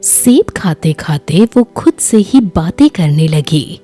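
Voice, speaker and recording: A woman narrating a scripted children's story in an expressive, dramatic storytelling manner. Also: lowish voice, slow, breathy edge, faint music behind.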